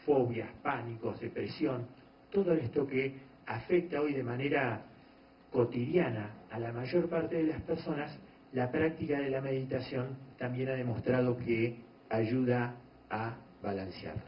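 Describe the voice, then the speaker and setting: A man giving a measured lecture on meditation to an audience, pausing often and restarting phrases. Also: mid-range voice, slight background noise. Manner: measured, explanatory, frequent pauses and restarts. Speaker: doctor giving a talk on meditation. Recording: echoey room, dull lo-fi sound.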